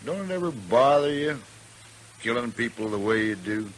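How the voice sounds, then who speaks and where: A man's voice: mid-range voice, lively intonation, normal speed, lightly acted, rough recording. An actor playing a cocky, sneering American western gunman, a blustering bully who goads and taunts in 1950s radio drama style. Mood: needling. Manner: sneering, goading, drawling. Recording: 1950s radio recording with background hiss.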